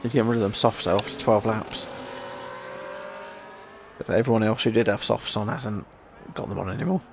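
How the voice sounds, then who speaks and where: Man race commentator, low gravelly voice, croaky, halting restarts, noisy mic with engine sounds. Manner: halting, thinking aloud with restarts. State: croaky after sneezing. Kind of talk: sim racing commentary.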